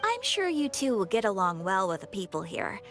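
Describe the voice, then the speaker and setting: A woman's voice: mid-range voice, wildly swooping pitch, normal speed. A woman playing a breezy, thoughtful young receptionist in a video game, informal and playful. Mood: breezy, musing. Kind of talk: English video game voice acting. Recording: soft music behind the voice. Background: game music.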